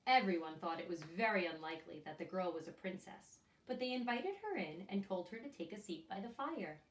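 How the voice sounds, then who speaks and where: Lady doing storytime for little kids, super expressive, asks them stuff, echoey room and bit far from mic. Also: lowish voice, a little fast. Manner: expressive, addresses children directly with questions. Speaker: children's storyteller and teacher. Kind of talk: read-aloud picture book for young children with asides and questions. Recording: echoey room, distant microphone.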